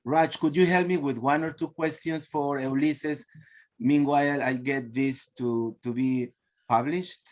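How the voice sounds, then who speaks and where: A man's voice: mid-range voice, steady tone, unhurried. Peruvian man hosting a video call. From Peru. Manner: chatty, stalls while sharing screen. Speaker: host of online teachers' meeting.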